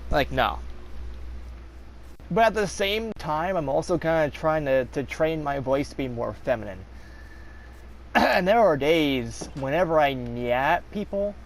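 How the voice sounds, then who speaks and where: A young man vlogging in a casual, confessional monologue, rambling with many fillers and restarts, his voice slightly raspy. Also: on the high side, expressive, slow, long pauses, slight background noise. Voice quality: slightly raspy when talking long.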